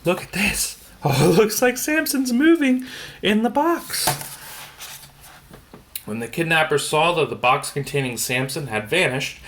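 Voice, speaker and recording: A man's voice: on the high side, animated, a little slow, fairly bright tone, a little hiss or hum. A man reading a children's picture book aloud, stumbling now and then and adding casual comments on the pictures. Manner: stumbles over words and explains the pictures as he goes. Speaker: bedtime story reader.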